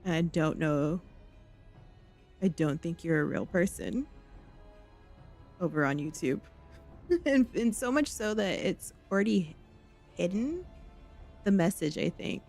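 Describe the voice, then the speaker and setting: A woman's voice: on the low side, expressive, slow, long pauses, darkish tone. A woman chatting casually while livestreaming a game, talking off the cuff to viewers. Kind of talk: casual chat while gaming. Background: game music.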